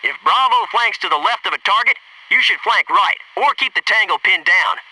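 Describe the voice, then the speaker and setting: A man's voice: high-pitched, animated, normal speed, pushed and bright, dry recording, far from the mic, full character voice, poor audio. A man voicing a cocky, wisecracking Navy SEAL squadmate in a military shooter video game, brash and sarcastic. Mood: instructive, brisk. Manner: brash, jokey, sarcastic banter and crisp tactical calls.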